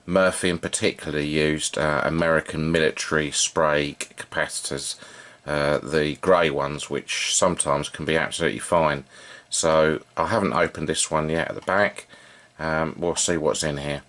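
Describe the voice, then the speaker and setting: A man giving an unscripted, informal hands-on demonstration, thinking aloud in a halting way as he works as a hobbyist repairer. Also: very deep voice, steady tone, fairly bright tone. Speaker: vintage radio repair hobbyist and video presenter.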